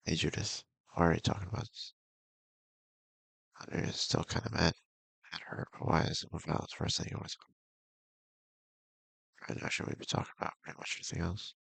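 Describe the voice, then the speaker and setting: A man's voice: low-pitched, fairly even intonation, brisk, creaky, long pauses, fairly bright tone, breathy edge, no echo, full character voice, poor audio. A man voicing an earnest, bewildered young male friend in a visual novel, plain and conversational, with music behind. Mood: earnest, puzzled.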